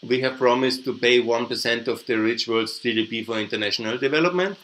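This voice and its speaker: A man's voice: mid-range voice, a little fast, a bit bright. A man with an Austrian accent, a native German speaker, giving opinionated, emphatic off-the-cuff political commentary as a podcast host. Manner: emphatic, opinionated, repeats points, tags with "yeah?". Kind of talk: unscripted political commentary over slides.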